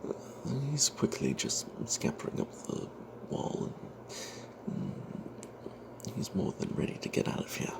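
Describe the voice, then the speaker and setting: A man narrating a first-person fantasy story as ASMR in a breathy whisper, hushed and halting, with wry asides. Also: extremely low pitch, huge pitch swings. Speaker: storyteller narrating own tabletop character. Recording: noticeable background noise.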